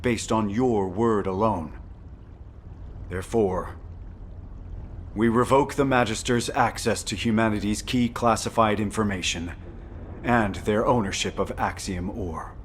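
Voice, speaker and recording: An actor playing a stern, unyielding military executive officer in a video game, speaking in a formal, curt, authoritative way. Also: male voice, lowish voice, fairly even intonation, measured pace, pauses often, a little hiss or hum, lightly acted. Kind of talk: video game story dialogue.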